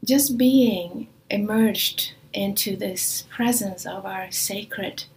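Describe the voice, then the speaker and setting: A woman whose native language is Swedish, giving a spiritual talk in a soft manner that often drops near a whisper, speaking away from her usual studio. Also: medium pitch, takes it slowly, slight background noise, poor audio. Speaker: spiritual teacher.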